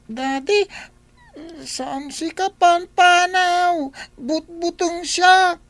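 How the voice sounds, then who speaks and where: A woman's voice: very high-pitched, very slow, fairly bright tone, dry recording, full character voice. A woman voicing a fretful small boy who stammers and whines in a radio drama. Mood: insistent. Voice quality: stammering, whiny.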